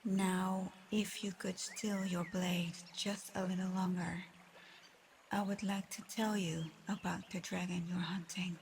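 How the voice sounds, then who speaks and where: A woman playing a weary, proud but gentle female dragon in a soft, breathy, measured ASMR roleplay. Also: fairly low pitch, flat delivery, a little hiss or hum. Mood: somber, bitter. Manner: soft, breathy, half-whispered, measured delivery. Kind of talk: ASMR roleplay, scripted one-sided dialogue.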